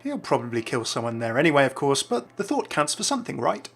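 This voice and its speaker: A man's voice: mid-range voice, very animated, fast talker, faint music behind. A man hosting a scripted video game lore voice-over in a chatty, informal way.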